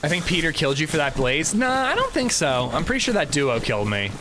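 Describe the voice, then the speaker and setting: A man's voice: fairly high pitch, sing-song, fast talker, noisy background. A man streaming a video game, chatting casually with his chat in a rambling, chatty way and swearing freely. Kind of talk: casual livestream chat while gaming.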